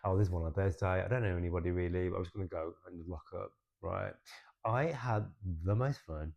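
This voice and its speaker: A young man talks through a tarot and astrology forecast in a chatty, expressive way, often checking in with "right?". His voice sits low with a gravelly fry. The recording sounds a bit dull and lo-fi. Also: a little fast. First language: English. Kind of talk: casual chatty astrology reading.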